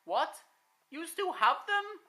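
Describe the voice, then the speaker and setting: A woman's voice: very high-pitched, very animated, slow, bright, forceful tone, echoey room, pitched above the performer's own voice, exaggerated voice. A woman voicing a terrified small male steam engine who pleads and cries out in fright. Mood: pleading.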